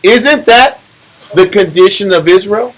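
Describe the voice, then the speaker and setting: A middle-aged man leading a Bible study, teaching in an animated, preachy way and often repeating himself. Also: sits high, measured pace, a little hiss or hum.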